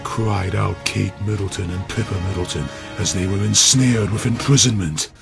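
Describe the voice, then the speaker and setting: A man's voice: lowish voice, moderate pace, gravelly, over loud music. A man narrating a dramatic reading of a story.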